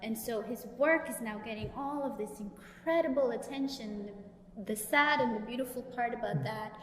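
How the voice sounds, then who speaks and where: A woman's voice: fairly high pitch, normal speed, a little hiss or hum. A woman with a Guyanese accent, speaking at a public panel talk in a gallery, telling stories and laughing easily. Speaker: panelist and professor. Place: gallery event space. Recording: echoey gallery room with long reverb.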